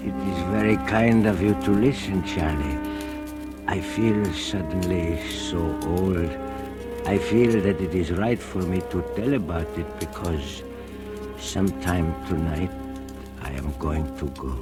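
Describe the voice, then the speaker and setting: An actor playing an old, gentle blind cobbler in a 1940s radio drama, speaking haltingly and earnestly with a soft foreign lilt. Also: male voice, low voice. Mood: weary, sorrowful. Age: older. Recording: old radio broadcast with music behind.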